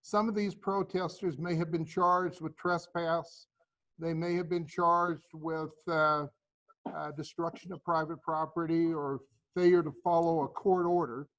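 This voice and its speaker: A man, a judge on a panel, explaining things in a careful, measured way over a video call. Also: fairly high pitch, flat delivery, a bit bright, rough recording. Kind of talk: panel discussion.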